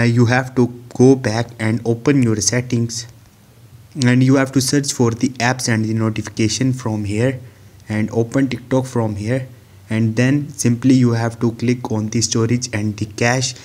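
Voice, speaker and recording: A man's voice: medium pitch, a little mellow. Man narrating a step-by-step tutorial. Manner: instructional, step-by-step. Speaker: tutorial host. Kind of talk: screen-recorded how-to tutorial narration.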